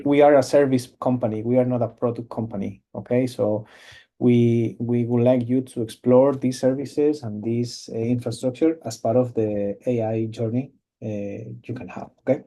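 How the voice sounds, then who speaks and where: Halting man presenting on a webinar. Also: medium pitch, fairly even intonation, mellow. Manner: halting, many fillers and restarts. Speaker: cloud company presenter. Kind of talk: webinar product demo. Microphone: video call.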